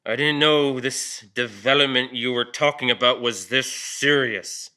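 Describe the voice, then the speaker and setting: A man plays a callous veteran detective in an audio drama read-through. His voice is bright and forceful, at an average pitch. He talks bluntly, with world-weary sarcasm. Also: a little slow, clear, resonant tone. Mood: sardonic. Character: jaded, callous male veteran police detective.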